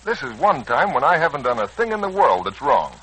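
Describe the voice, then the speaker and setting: An actor playing a doubtful, resigned young man, a son and nephew, in a 1940s radio serial, with a husky voice and skeptical, plain-spoken manner. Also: fairly high pitch, sing-song, fairly quick, sharp tone, full character voice, low-quality recording. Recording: old 1940s radio recording.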